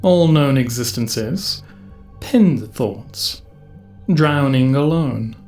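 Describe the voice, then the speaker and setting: Ancient cosmic collective mind acted by a performer, solemn and cryptic, slow portentous phrasing, echoing over music. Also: medium pitch, lively intonation, a little mellow. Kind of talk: audio drama acting.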